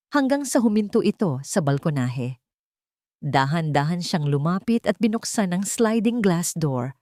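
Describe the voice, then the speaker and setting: Expressive low-pitched Filipino woman narrating a story. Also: no room sound, studio quality. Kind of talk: scripted narration of a romantic drama story.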